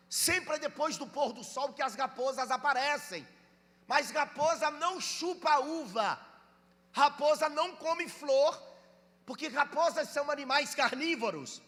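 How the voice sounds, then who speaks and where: A Brazilian pastor from Bahia with a Bahian accent, preaching a sermon, reading scripture slowly and calling on the congregation to answer. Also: male voice, very high-pitched, bright, forceful tone, long reverb tail. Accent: Bahian Brazilian Portuguese. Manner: reads scripture slowly and drawn out, urges listeners to respond.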